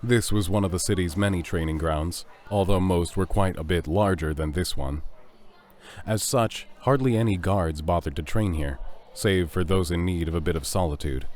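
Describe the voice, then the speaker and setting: A man's voice: low voice, normal speed, darkish tone. A man giving scripted narration for an audio drama.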